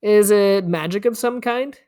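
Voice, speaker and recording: A woman's voice: mid-range voice, a little slow, warm tone, pitched above the performer's own voice, close to an everyday voice. A woman voicing a curious, wary young boy in a storybook, questioning and earnest. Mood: inquisitive.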